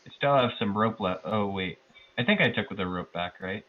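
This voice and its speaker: A man's voice: lowish voice, moderate pace, dull audio. A man playing a tabletop roleplaying game, improvising casually and thinking aloud in a hesitant way.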